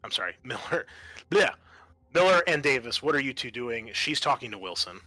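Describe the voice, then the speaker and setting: A man working as game master, improvising tabletop narration in a halting, thinking-aloud way. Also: mid-range voice, big pitch swings, pushed and bright, no room sound.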